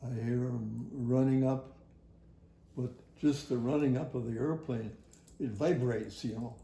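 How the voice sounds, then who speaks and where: An older man tells a personal story from his working life in a halting, rambling, folksy way. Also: mid-range voice, steady tone, dark tone, reverberant. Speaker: retired air traffic facility worker. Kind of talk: personal oral history storytelling.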